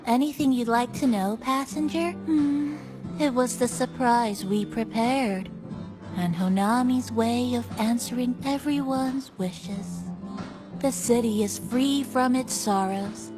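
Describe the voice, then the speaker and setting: A woman voicing a kindly, gently teasing cafe attendant in a video game, with music playing behind her. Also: fairly high pitch, takes it slowly, poor audio. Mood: friendly, helpful. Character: playful, kindly female cafe attendant addressing a passenger. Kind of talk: video game character dialogue. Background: game background music.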